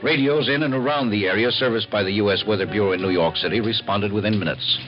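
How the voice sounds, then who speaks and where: An American man playing an authoritative explainer, formal and measured, in mid-century radio documentary style. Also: on the low side, lightly acted. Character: authoritative male explainer of civil defense procedures. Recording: muffled old radio recording, loud music.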